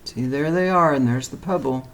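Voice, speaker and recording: A man's voice: medium pitch, moderate pace, a little hiss or hum, rough recording. A man reading a picture book aloud expressively and explaining it to young children as a teacher. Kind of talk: animated children's storybook read-aloud with asides.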